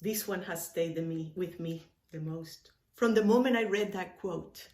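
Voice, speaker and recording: A woman from Cuba giving a sermon as a minister, reflective and earnest, stressing phrases with deliberate pauses and repetition. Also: on the low side, dark tone. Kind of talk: sermon, reflective with personal stories and quotations. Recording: echoey, roomy sound.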